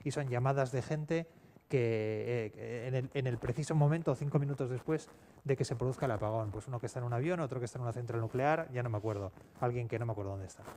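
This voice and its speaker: A man with an accent from Spain speaking unscripted on a panel in a halting, self-correcting way, as an audio fiction writer. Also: medium pitch, dark tone. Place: public round-table event. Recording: clear background noise, live event ambience.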